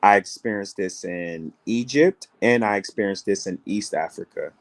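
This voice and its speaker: A man's voice: fairly low pitch, moderate pace. An American man co-hosting a casual call-in show, building his point through repeated rhetorical questions. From United States. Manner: builds an argument with repeated rhetorical questions and acts out other people's voices. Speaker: travel show co-host.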